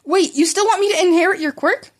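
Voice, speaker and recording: A woman voicing an excitable, polite teenage boy hero-in-training who rambles and stammers, in a story reading. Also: very high voice, quick pace, pitched above the performer's own voice. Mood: eager, surprised.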